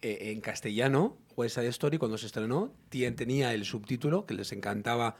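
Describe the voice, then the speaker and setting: A man's voice: mid-range voice, lively intonation, fairly quick, husky. A man co-hosting a casual podcast chat, his voice a bit throaty. He jokes and teases the others, trailing off and circling back as he talks. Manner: joking, teasing. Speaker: podcast co-host.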